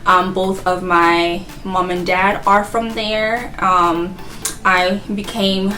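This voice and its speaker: A woman's voice: medium pitch, steady tone, slow, sharp tone. A woman in her twenties from Haiti, chatting casually and rambling about herself, laughing often. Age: 20s. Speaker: vlogger. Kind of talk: casual get-to-know-me chat.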